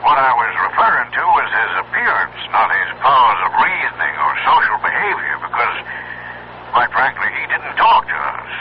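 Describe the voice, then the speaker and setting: Actor as evasive doctor, wildly swinging intonation. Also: male voice, mid-range voice, big pitch swings, natural voice. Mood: grave, ominous. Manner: hesitant, stammering, dramatic pauses. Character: uneasy, evasive male sanitarium director, grave and mysterious. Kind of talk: old-time American radio drama acting. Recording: dull, hissy old radio recording.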